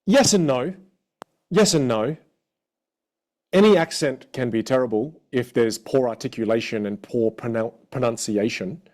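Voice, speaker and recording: A man with an Australian accent coaching a live online audience in an energetic, encouraging way. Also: medium pitch, a little slow. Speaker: communication coach.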